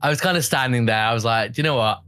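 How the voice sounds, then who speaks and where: A young man talks as a guest on a podcast, telling his story in a loose, chatty way. He laughs easily and acts out what he said to himself. His voice sits at a middle pitch, and the recording is dry and close. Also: rapid-fire, pushed and bright, no echo. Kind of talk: casual storytelling interview.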